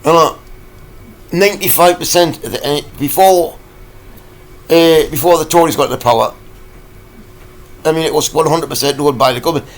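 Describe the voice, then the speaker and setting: A man, croaky from a cold, ranting in a rambling, halting vlog full of fillers. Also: fairly high pitch. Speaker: vlogger.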